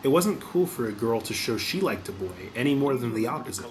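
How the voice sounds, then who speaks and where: A man's voice: medium pitch, big pitch swings, brisk, crowd noise. A man reading scripted audiobook narration as the narrator.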